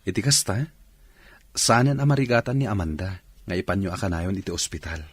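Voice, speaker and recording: An actor playing an earnest, respectful young man and devoted suitor in a radio drama, with a husky voice. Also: sits low, expressive, fairly quick, no echo.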